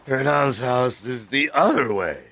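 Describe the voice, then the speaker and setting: An actor playing a tipsy, sardonic drinking companion who teases flippantly in an audio drama, faint bustle behind. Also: male voice, mid-range voice, animated, a little slow, fairly bright tone. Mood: tipsy, mocking. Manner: teasing, slack, sardonic.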